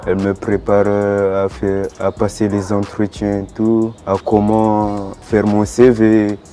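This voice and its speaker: A man's voice: lowish voice, completely flat delivery, unhurried, music in the background. A young man, a programme participant, giving an informal testimonial. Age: young adult.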